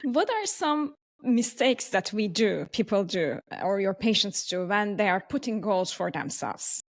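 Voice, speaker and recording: A woman's voice: medium pitch, big pitch swings, unhurried. A woman from Turkey with a Turkish accent, hosting a podcast in a conversational interview style. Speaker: podcast host.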